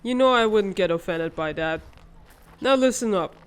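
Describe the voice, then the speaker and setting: A woman voicing a wry, level-headed older sister in a comic dub, calmly teasing and gently scolding. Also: mid-range voice, moderate pace, clear, resonant tone, lightly acted. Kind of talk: animated comic-dub voice acting.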